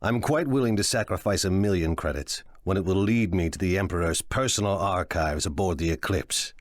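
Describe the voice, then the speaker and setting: An actor playing a cunning, ruthless crime lord in a video game, speaking in a measured, condescending and deliberate way. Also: male voice, deep voice, no echo, studio quality, lightly acted. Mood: smug, calculating. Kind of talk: dramatic video game voice acting.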